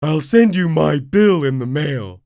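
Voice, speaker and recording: Woman voicing dim starfish buddy: raised pitch, booming, slow, dopey, dry read. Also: animated, mellow, no room sound. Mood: dopey, loud. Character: dim-witted friendly male starfish, loud.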